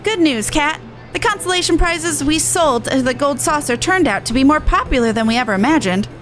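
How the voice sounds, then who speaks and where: Brisk, earnest charity merchant for a game, high female voice, colloquial and plain-spoken, played by a woman. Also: animated, a bit bright, soft background music. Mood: determined. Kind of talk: reading video game dialogue in character.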